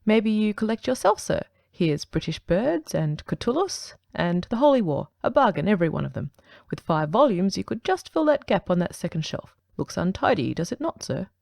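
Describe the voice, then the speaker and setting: Brief: woman doing a gravelly old bookseller, fawning salesman patter, audiobook. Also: medium pitch, sing-song, moderate pace, few pauses, a little mellow, dry recording, studio quality. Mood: wheedling. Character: fussy old male bookseller, wheedling.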